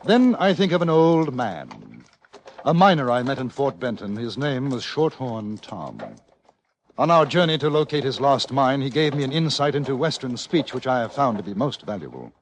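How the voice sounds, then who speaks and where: An actor playing an urbane, formal British newspaper correspondent narrating an old-time radio western. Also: male voice, mid-range voice, very animated, moderate pace. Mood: wry, reflective. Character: refined British newspaper correspondent in the Wild West. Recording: noisy old radio recording.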